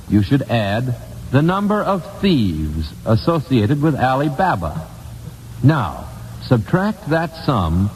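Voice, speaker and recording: An American man hosting a live 1950s radio quiz, putting questions deliberately and repeating key phrases for emphasis on an old broadcast recording. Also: mid-range voice, a little mellow. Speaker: quiz show host. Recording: old radio broadcast, hall reverb, hiss.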